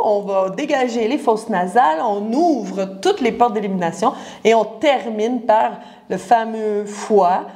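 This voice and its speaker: Woman, 40s, Quebec French accent, naturopath, chatty and direct with the viewer, mid-pitched voice. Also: a little mellow. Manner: chatty, addresses the viewer directly, explains with everyday comparisons. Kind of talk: casual live talk to viewers.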